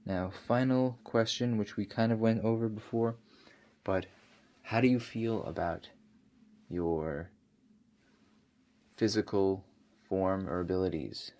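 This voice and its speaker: A man playing a doctor in an ASMR roleplay, asking questions in a soft-spoken, unhurried, hesitant and reassuring way. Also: on the low side. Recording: steady audible background noise.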